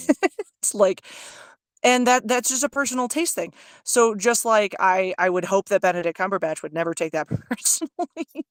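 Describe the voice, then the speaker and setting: A woman audiobook narrator with a low, fry-heavy voice, answering interview questions in a candid, self-deprecating, chatty way. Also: no room sound, studio quality.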